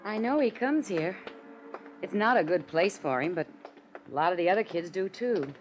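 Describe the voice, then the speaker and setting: A woman's voice: lowish voice, animated, moderate pace, soft background music, close to an everyday voice. An actress playing an anxious, earnest young working woman, hesitant and pleading, in 1950s radio drama style. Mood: worried. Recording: noisy old 1950s radio recording.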